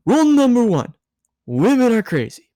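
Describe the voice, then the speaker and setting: A man's voice: very high-pitched, wildly swooping pitch, moderate pace, warm tone, no room sound, clean studio sound, voice pushed up, heavily acted. A man voicing a tipsy, giggly, bossy woman handing out rambling, emphatic love advice in a fan fiction reading. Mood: loud, tipsy.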